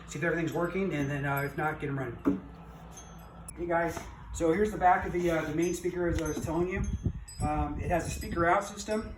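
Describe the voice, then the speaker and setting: A middle-aged man giving a casual, unscripted walkthrough of a project as a vlogger, rambling along in a folksy way. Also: fairly high pitch. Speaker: vlogger reselling auction finds. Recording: roomy, distant mic, background noise.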